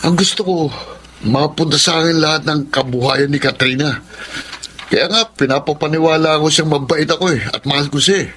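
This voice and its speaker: A man's voice: on the high side, fairly quick, fairly bright tone, slight background noise, lightly acted. A man playing a sly, deceitful suitor in a Tagalog radio drama. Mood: sly, scheming. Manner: sly, conniving, stammers when cornered. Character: scheming deceitful male suitor conning a woman.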